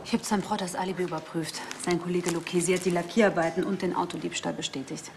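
A woman officer reporting quickly, her low voice full of gravelly fry. Background noise is clearly heard. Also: fairly even intonation. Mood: matter-of-fact. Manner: quick report-style delivery. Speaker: police officer.

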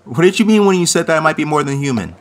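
A man's voice: fairly high pitch, expressive, rapid-fire, natural voice. An actor playing a curious, joking teenage boy with slangy phrasing in a fantasy audio drama.

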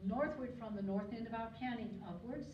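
An older woman giving an informal slide talk at a podium, chatty and digressive, with frequent ums and throat clearing. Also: fairly high pitch, fairly quick. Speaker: guest speaker on local birds. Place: lecture hall. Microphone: podium mic, recorded by a far camera. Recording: distant echoey hall recording, muffled, noisy.

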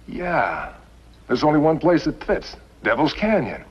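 A man playing a wary western outlaw, hesitant and wry, with a husky voice. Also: a little high, wildly swooping pitch, measured pace, full character voice. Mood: pondering. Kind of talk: 1950s western TV acting. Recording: muffled vintage TV soundtrack.

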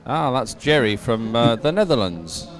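A man's voice: mid-range voice, sing-song, moderate pace. A man chatting casually as a live sports co-commentator, jokey and self-deprecating.

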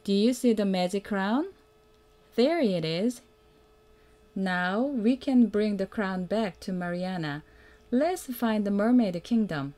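A woman reading a children's picture book aloud as a mother would, in a simple, deliberate way that invites the young listener to join in. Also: mid-range voice, lively intonation, dark tone. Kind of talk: read-aloud children's storytelling.

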